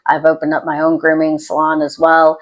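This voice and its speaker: Woman, slightly low pitch, video host, casual chat, introverted, rambling, self-deprecating. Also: completely flat delivery, fairly quick, lo-fi sound. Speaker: YouTube host. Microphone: external microphone.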